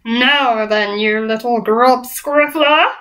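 Woman acting out a booming, bullying giant. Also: unhurried, fairly bright tone. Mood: menacing, bullying. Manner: mangled folksy grammar, taunting. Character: huge menacing bullying man-eating male giant.

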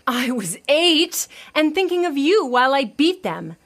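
A stern, exasperated daughter in a comedy podcast. Her voice sits a little high. She speaks curtly, with dry, scolding impatience. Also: big pitch swings, a little slow, heavily acted. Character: exasperated, stern adult daughter, a child therapist. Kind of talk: audio comedy acting.

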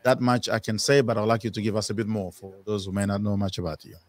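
A man from Congo, a native Swahili speaker, hosting an interview in a friendly, halting way with many fillers. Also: lowish voice, steady tone. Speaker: interview host. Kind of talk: video-call interview. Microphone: studio mic.

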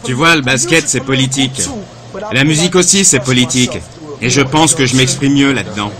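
A man's voice: mid-range voice, fairly quick, a crowd in the background. A man reading a casual, slangy voice-over translation of a young interviewee.

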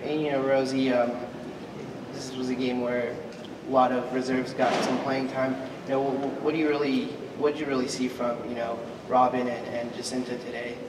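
Guy reporter, stumbly questions, flat voice, far away in a big echoey room. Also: mid-range voice. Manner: halting, with many stumbles and "you know". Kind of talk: press conference questions. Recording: echoey hall, distant mic, room noise.

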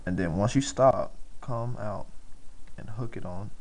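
A man giving casual step-by-step drawing instruction, speaking informally and haltingly, with pauses as he works. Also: fairly low pitch, rough recording.

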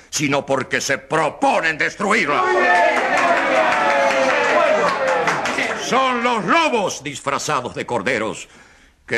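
A man's voice: fairly low pitch, bright, forceful tone, full character voice. A man playing a pompous, indignant government official, declaiming in demagogic fashion in a radio courtroom drama. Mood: accusing, solemn. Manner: bombastic, declamatory.

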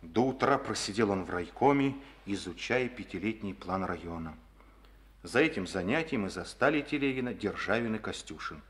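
Man, radio play narrator, low voice, measured, solemn. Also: expressive.

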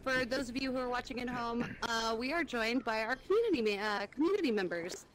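A woman's voice: fairly high pitch, lively intonation, fairly quick, fairly bright tone, slight background noise, rough recording. A woman hosting a game stream on a VR headset, upbeat and welcoming. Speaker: host.

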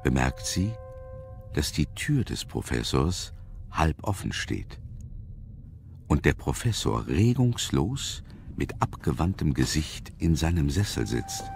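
A grave storyteller narrates a suspense radio drama. His voice is extremely low and gravelly, with clear music behind it. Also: exaggerated voice. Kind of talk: scripted radio play narration.

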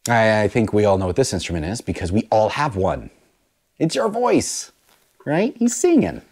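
A man in his thirties reading a picture book aloud and teaching children about musical instruments in an animated, sing-song way, recorded on a lapel mic in a small studio room. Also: lowish voice, moderate pace, slight background noise.

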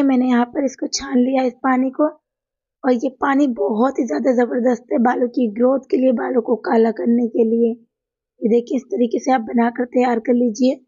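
A hoarse woman with a sore throat narrates a home remedy demo in a chatty voice-over, talking to viewers as friends. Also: high-pitched, mellow, dry recording, highs cut off. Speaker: cooking and home remedy channel host. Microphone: voice-over recorded apart from the video.

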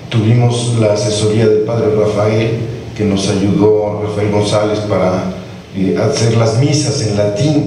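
A middle-aged man reflects as an actor on his work. The voice is low and mellow, heard from off in a very echoey space. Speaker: lead actor. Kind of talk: reflective unscripted testimonial. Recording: very echoey, distant mic, faint noise.

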